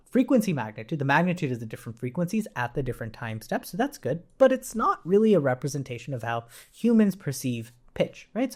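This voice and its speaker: A man's voice: fairly high pitch, a little mellow. A man giving an informal technical code walkthrough as a tutorial instructor, conversational and often checking in as he explains. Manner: conversational, often tags points with "right?".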